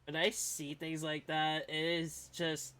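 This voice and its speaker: Man, smooth clear voice, slow pace, casual game streamer commentary, short trailing remarks. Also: a little high, monotone, bright, forceful tone.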